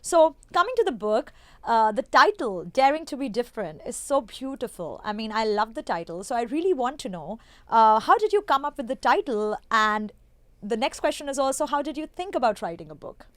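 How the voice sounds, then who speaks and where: Higher-pitched woman co-host. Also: very animated, fairly quick, a bit bright, dry recording. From South Asia. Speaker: podcast co-host. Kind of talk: conversational interviewing.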